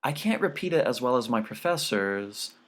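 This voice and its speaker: A man's voice: mid-range voice, lively intonation, moderate pace, natural voice. A man reads as a college-age daughter in an audiobook story. She is polite and keen. She speaks with earnest interest. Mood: hesitant.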